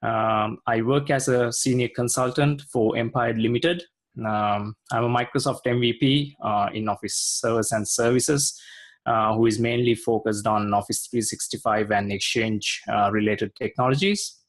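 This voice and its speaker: A man's voice: medium pitch, steady tone, normal speed. Hesitant man from Sri Lanka. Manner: hesitant, many fillers. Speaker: guest, IT consultant. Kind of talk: casual video interview.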